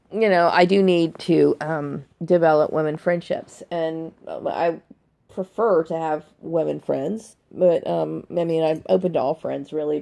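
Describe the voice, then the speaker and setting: A woman in her forties with a dry, gravelly voice, talking blunt and unscripted in a personal video, punctuating her points with "okay?". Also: sits low, a little mellow. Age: 40s. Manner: blunt, emphatic, often checking with "okay?". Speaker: video blogger. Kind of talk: unscripted personal monologue to camera. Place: bedroom at home.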